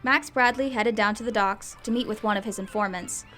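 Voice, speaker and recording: A woman's voice: medium pitch, a little fast. Woman narrating radio drama over music.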